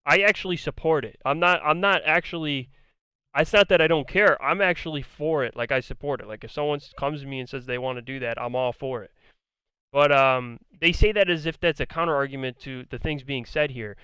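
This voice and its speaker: A man delivers argumentative, profane commentary, hammering the same points again and again. His smooth voice sits somewhat high and has begun to turn hoarse. He was recorded up close with no room sound at all. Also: brisk, bright, forceful tone, no room sound. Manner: argumentative, blunt, profane, repeats himself. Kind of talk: ranting commentary on a debate.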